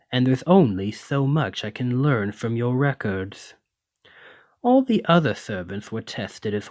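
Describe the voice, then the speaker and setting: A man's voice: mid-range voice, dry recording. A man playing a haughty, teasing male lamia noble in an ASMR roleplay, with a pompous, refined, drawling and condescending manner. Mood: softened, pleased. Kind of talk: ASMR roleplay, scripted monologue to listener.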